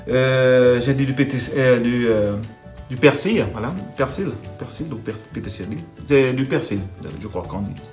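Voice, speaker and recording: A man's voice: mid-range voice, normal speed, a little mellow, soft background music. A man from the Netherlands with a Dutch accent, speaking French, talking through a home cooking video with many hesitations and restarts. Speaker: home cook.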